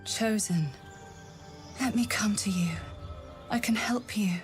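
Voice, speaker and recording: An actress playing a mysterious, seductive woman who visits dreams, coaxing softly and intimately in a video game. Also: medium pitch, takes it slowly, soft background music, natural voice. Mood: seductive, soothing. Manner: breathy, coaxing, intimate, often whispering. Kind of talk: video game dialogue acting.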